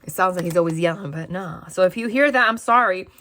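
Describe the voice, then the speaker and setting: A woman tarot reader from New Jersey, chatting casually and frankly to her viewers from a desk at her parents' house, with a natural vocal fry and a slightly raspy voice. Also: fairly low pitch, quick pace, background noise. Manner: chatty and rambling, frank asides.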